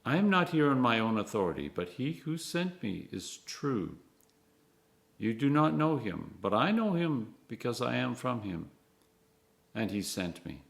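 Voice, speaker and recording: A clergyman reading scripture aloud in an expressive, measured way, phrase by phrase, with emphatic stresses. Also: mid-range voice, creaky.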